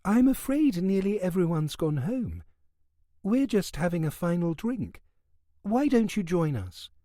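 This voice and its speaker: A man's voice: sits high, very animated, a little slow, dark tone, no echo, studio quality, voice pushed up. A man doing a composed young woman hostess. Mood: polite.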